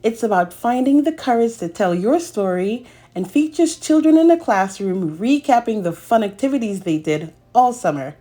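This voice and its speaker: A woman's voice: fairly high pitch, animated, moderate pace, hardly any pauses, dark tone. A woman hosting a children's read-aloud, telling a picture-book story expressively and speaking directly to young listeners.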